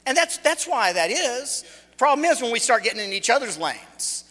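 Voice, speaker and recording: A man's voice: extremely high pitch, big pitch swings, normal speed, pushed and bright. A man in his late forties preaching a sermon as a visiting speaker, loose and joking, talking conversationally with frequent restarts. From Los Angeles, California. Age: 40s. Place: church hall. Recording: echoey hall with long reverb.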